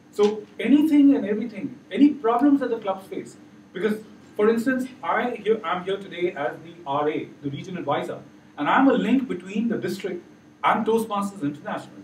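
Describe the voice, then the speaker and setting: A middle-aged man leading an interactive training session without a microphone, loud and encouraging, asking the room questions. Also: high voice, slight background noise. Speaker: workshop trainer. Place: large meeting room with projector. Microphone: far camera, no mic. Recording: echoey room from far off.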